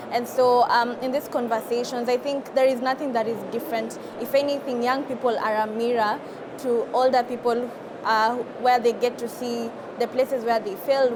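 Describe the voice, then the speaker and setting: A woman in her twenties with a Kenyan accent, giving earnest, explanatory answers as an interview guest. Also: fairly high pitch. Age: 20s. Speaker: climate activist and podcaster guest. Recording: clear vehicle and ambient noise behind.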